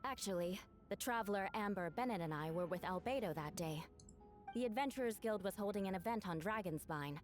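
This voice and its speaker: A woman's voice: mid-range voice, lively intonation, a little fast, soft background music, natural voice. An actress playing an earnest young woman adventurer in a game, giving careful testimony. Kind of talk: English game voice acting.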